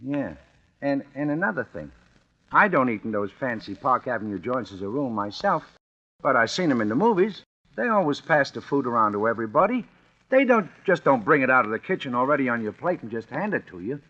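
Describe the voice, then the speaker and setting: Suspicious, colloquial American regular guy, raspy and animated, blunt vintage radio-play delivery. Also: medium pitch, a little fast. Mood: suspicious, grumbling. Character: plain-spoken, streetwise, suspicious working-class American man. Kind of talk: 1940s radio drama acting. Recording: muffled old radio recording, faint music.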